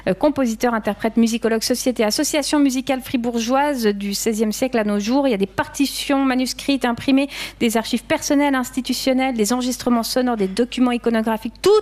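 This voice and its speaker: A woman hosting a lively radio show, playful and quick to laugh, with many small fillers. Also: on the high side.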